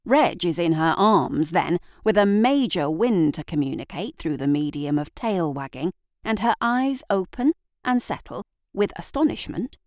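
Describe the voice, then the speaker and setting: A woman narrating an audio drama in a deliberate, theatrical storytelling manner, with dramatic pauses. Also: lowish voice, darkish tone, no echo, studio quality. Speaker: narrator and writer.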